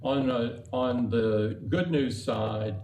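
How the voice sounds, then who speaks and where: An older man walks through quarterly figures in a steady, measured corporate tone. His voice is mellow and mid-pitched, and a faint hiss sits under the line. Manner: measured, upbeat corporate delivery with some hesitations. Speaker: company chief executive on an earnings call. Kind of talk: scripted earnings remarks, then unscripted answers.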